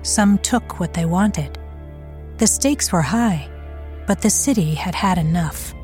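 A woman's voice: fairly low pitch, slow pace, a little mellow, faint music behind. A woman narrating a scripted crime audio drama.

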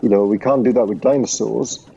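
A man's voice: mid-range voice, steady tone, brisk, creaky, warm tone, slightly rough, dull audio. A man who grew up in Sri Lanka, co-hosting a podcast and arguing earnestly in a rambling way, with lots of fillers. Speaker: podcast co-host. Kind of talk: casual debate.

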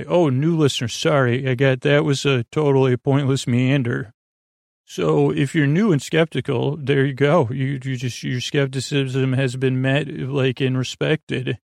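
A man hosting a sleep podcast with a creaky voice, rambling in a soothing, meandering, silly way and fumbling his words as he improvises. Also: medium pitch, steady tone, unhurried, darkish tone, dry recording, studio quality. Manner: soothing, lulling. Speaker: podcast host.

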